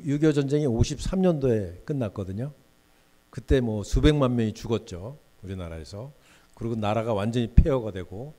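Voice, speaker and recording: A man's voice: mid-range voice, warm tone. A man in his sixties giving a guest lecture in a university hall, chatty and often putting questions to the audience. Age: 60s.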